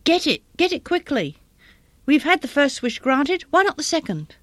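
A woman's voice: high-pitched, animated, fairly quick, fairly bright tone, no room sound. A woman reading a story as a grieving, desperate old mother, crying out in a hysterical, insistent manner. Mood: desperate insistence.

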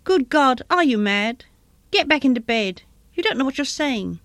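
A weary old husband in a storybook tale, played by a woman narrator. He sounds grave and fearful, reluctant and shaken, with a fairly high voice. The recording is dry. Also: expressive, normal speed, a bit bright, no room sound. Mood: alarmed.

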